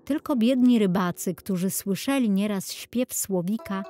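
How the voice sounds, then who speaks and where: Unhurried woman narrating a children's tale. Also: mid-range voice, dark tone, faint music behind. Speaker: audiobook narrator. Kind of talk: scripted children's storybook narration.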